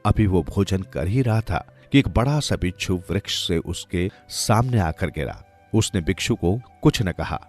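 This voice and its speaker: A man's voice: low-pitched, expressive, unhurried, a little mellow, soft background music. A man narrating a moral folk tale from a script, as a storyteller.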